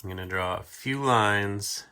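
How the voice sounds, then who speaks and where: A man's voice: lowish voice, measured pace, bright, forceful tone, clear, resonant tone. A man hosting a homemade drawing video for kids, talking casually and thinking aloud in an offhand way. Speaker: host of a children's storytime and drawing show.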